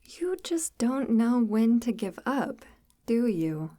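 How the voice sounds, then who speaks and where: Woman doing a creepy calm forest witch for asmr roleplay, super slow and breathy, highish soft voice, formal and kinda patronizing, right up on the mic. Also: dry recording, clean studio sound. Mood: mocking, condescending. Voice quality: soft, breathy, half-whispered. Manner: formal, measured, deliberate, gently patronizing. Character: sinister forest witch, calmly menacing, devout, condescendingly tender. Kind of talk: ASMR audio roleplay, slow intimate monologue.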